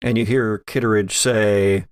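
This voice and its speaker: A man narrating a horror tabletop game as game master, with deliberate, suspenseful storytelling. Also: lowish voice, fairly even intonation, smooth, dry recording, clean studio sound.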